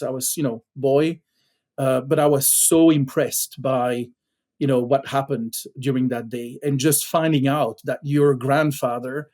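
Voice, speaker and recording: A middle-aged Belgian man speaks as a podcast guest in a mellow, dark tone. He talks in a halting way, full of fillers and restarts, as he tells a story. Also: medium pitch. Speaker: podcast guest, company founder.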